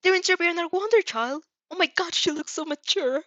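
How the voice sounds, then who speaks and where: Woman acting an excitable doting mother, high-pitched. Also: fairly quick, no room sound. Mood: excited. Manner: gushing, exclaiming. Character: excitable doting middle-aged mother, proud and protective.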